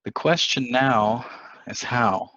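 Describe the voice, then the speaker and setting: A man's voice: mid-range voice, slow, bright, forceful tone, no echo. A man playing a coldly calculating newlywed husband in a table reading of a stage play, in dull audio.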